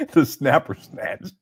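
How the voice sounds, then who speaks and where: A man commenting live on a video clip, laughing often and teasing with sarcasm. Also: darkish tone. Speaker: commentator reacting to a livestream.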